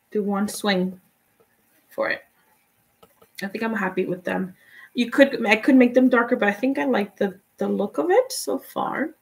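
A woman's voice: medium pitch, vocal fry. Spanish-accented woman teaching live painting. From Guatemala. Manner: relaxed, chatty, laughs often, answers viewers' comments. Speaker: painting instructor.

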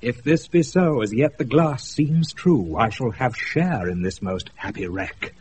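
An actor playing a noble, romantic duke in a British radio Shakespeare, measured and lyrical, on a noisy off-air recording. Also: male voice, mid-range voice, lively intonation, darkish tone, natural voice. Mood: stately, tender. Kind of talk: 1980s radio Shakespeare acting.

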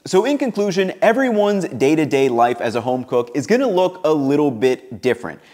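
A young man in his twenties, upbeat and relaxed, narrating his home cooking and chatting to the camera in his kitchen. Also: on the high side, fairly quick, soft background music. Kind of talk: cooking vlog voice-over and casual to-camera chat.

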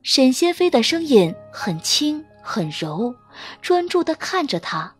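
A woman narrating a romance audiobook with smooth, expressive storytelling. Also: high-pitched, slow pace, faint music behind. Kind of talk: scripted narration of a romance novel.

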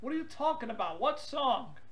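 High-pitched incredulous woman on a call. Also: very animated, moderate pace, background noise. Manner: exclamatory, incredulous. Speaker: prank call participant. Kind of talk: casual phone chat.

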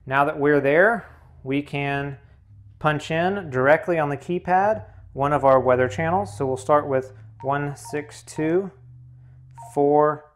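Male tutorial host, slightly high voice, methodical practical instruction. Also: slow. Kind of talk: hands-on instructional narration.

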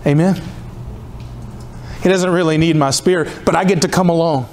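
A man's voice: on the high side, fairly even intonation, normal speed, a little mellow, slight background noise. Impassioned man in his fifties preaching. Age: 50s. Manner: impassioned, rhythmic repetition, pleading. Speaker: pastor. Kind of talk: sermon. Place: church hall in front of a cross.

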